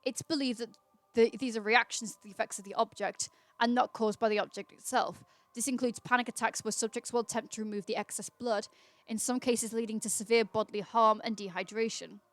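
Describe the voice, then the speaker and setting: A woman's voice: on the high side, steady tone, brisk, no echo. A woman hosting a livestream, chatting casually and reading aloud informally, with small stumbles and self-corrections. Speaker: livestream host.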